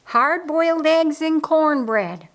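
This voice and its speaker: A woman's voice: medium pitch, normal speed, natural voice. A woman voicing a practical, caring sister in a storybook, speaking in an emphatic, commanding way. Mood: brisk.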